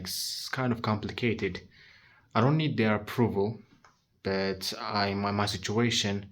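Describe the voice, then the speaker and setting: A nineteen-year-old man talking frankly to his handheld phone in a casual vlog, swearing often and restarting his sentences. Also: lowish voice. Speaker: vlogger.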